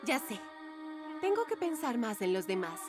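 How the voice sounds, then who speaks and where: A woman's voice: fairly high pitch, very animated, moderate pace, music in the background. A woman playing a vain, dramatic young model and internet diva in a cartoon, whiny and self-absorbed. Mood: reflective, smug. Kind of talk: exaggerated cartoon dubbing.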